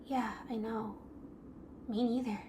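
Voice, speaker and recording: A woman voicing a gentle, timid teenage girl who speaks softly and stammers when unsure. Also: fairly high pitch, steady tone, takes it slowly. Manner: soft, hesitant, self-doubting, stammers. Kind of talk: audio drama acting. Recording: noisy ambient background.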